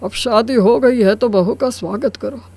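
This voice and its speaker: A man's voice: very high voice, moderate pace, darkish tone. A man playing a middle-aged, easygoing father-in-law in a Hindi cartoon story, soothing and reasoning in manner. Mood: calming, firm. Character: kindly, easygoing father-in-law who keeps the peace.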